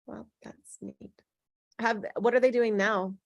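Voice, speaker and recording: A woman leading a casual group discussion in a chatty, agreeable way, with frequent soft interjections. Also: on the high side, fairly even intonation, takes it slowly, no room sound, highs cut off. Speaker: host leading a script table-read discussion.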